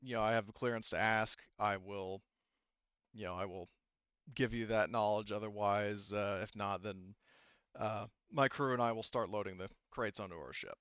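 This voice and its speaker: A man voicing a composed, cooperative woman ship's officer in a tabletop game, speaking in a measured, polite, official way. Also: on the low side, a bit bright, clean tone, no room sound, lo-fi sound, close to an everyday voice. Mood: formal, courteous.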